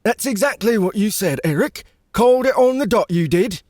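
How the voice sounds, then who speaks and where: A man doing a high fawning sidekick guard. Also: expressive, normal speed, darkish tone, no room sound, clean studio sound. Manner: eager and agreeable.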